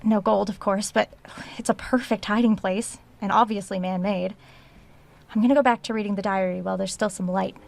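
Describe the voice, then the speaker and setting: A woman playing a curious researcher in a horror audio drama, speaking at a medium pitch. She thinks aloud in an inquisitive way, as if logging a search. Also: steady tone, a little fast, gravelly, a little hiss or hum, close to an everyday voice. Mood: absorbed, curious. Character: curious female researcher recording her search of a haunted house.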